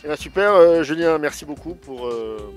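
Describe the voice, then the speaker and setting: A man hosting an interview, asking questions casually and slowly with many fillers. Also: fairly high pitch, animated, faint music behind. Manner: slow, halting, lots of fillers. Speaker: interviewer host.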